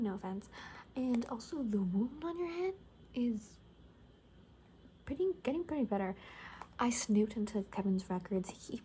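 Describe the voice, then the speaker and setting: Soft, half-whispering woman in ASMR roleplay. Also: mid-range voice, a little hiss or hum, poor audio. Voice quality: soft, breathy, often whispering. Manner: rambling, gossipy, hesitant casual delivery. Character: cocky, gossipy young woman survivor of zombie apocalypse. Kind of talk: soft-spoken ASMR roleplay, improvised chat.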